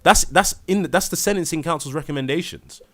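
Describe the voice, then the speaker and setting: A man's voice: a little high, a little fast. Insistent man in a heated debate. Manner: insistent, repeats points, many fillers and restarts. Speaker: debate participant.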